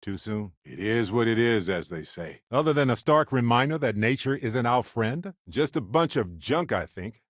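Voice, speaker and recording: An actor playing a warm, wry, chatty space explorer companion in a video game, with an affable, teasing and theatrical delivery. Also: male voice, medium pitch, big pitch swings, normal speed, hardly any pauses, no room sound, studio quality. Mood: musing, playful.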